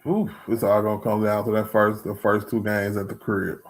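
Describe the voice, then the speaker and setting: A man's voice: fairly low pitch, level tone, moderate pace. A man hosting a live sports stream, laid-back and full of slang, casually chatting about basketball. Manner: laid-back slang, calls people dog and bro.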